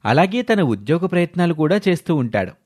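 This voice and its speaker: A man's voice: on the high side, big pitch swings, fairly quick, a little mellow. A man narrating a scripted moral story as a storyteller.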